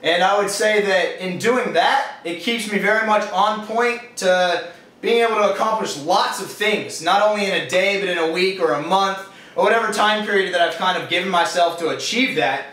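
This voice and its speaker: High-pitched young Californian man, very echoey. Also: normal speed, bright, forceful tone. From California, USA. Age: 20s. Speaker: interviewee, fitness business owner. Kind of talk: casual interview answers. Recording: echoey, distant microphone.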